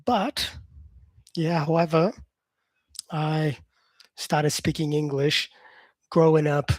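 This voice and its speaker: A man's voice: a little high, fairly even intonation, slow pace, no room sound. A man whose first language is Portuguese, an English teacher chatting on a live stream and answering viewer comments.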